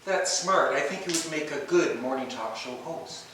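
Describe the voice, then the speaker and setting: A man's voice: a little high, moderate pace, natural voice. A man playing an awkward, nervous older tax attorney, hesitant and polite, in a stage play rehearsal. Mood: agreeable, timid. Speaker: amateur stage actor in rehearsal. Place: echoey indoor rehearsal room. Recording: very echoey room, distant mic, faint noise.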